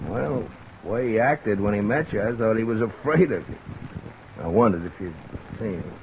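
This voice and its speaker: A man's voice: on the low side, animated, moderate pace, poor audio. An actor playing a sly, falsely friendly man who talks insinuatingly, in 1950s-style radio drama, on an old recording. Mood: sly, casual. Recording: old radio recording, faint rain noise.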